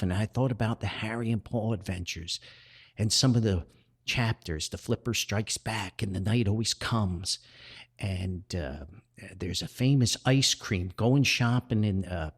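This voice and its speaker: A middle-aged man hosting a podcast, telling nostalgic anecdotes in a casual, rambling way. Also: fairly low pitch. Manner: rambling, chuckles often, nostalgic storytelling. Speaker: podcast host and author.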